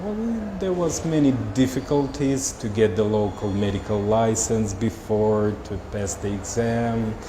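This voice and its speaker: A man from Moscow with a Russian accent, answering interview questions as a doctor in halting, simple non-native phrasing. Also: fairly low pitch, background noise. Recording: roomy echo with music underneath.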